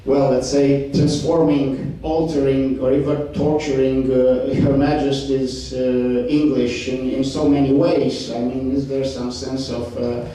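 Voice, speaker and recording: A man speaking on a discussion panel, halting, with many fillers and restarts. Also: mid-range voice, level tone, warm tone. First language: Romanian. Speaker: panel participant. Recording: echoey hall, distant mic, faint noise.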